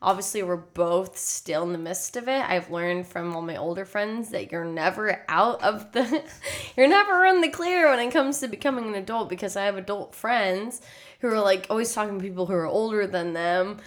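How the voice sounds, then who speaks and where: A woman's voice: mid-range voice, animated, moderate pace. Young American woman chatting casually. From Texas, United States. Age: 20s. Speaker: podcast co-host. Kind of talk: casual chat.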